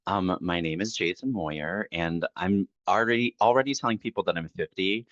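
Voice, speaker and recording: A person in their late forties, a podcast guest talking in a relaxed, candid way and laughing easily. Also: male voice, deep voice, normal speed, dry recording, muffled recording. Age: 40s. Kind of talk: casual podcast interview.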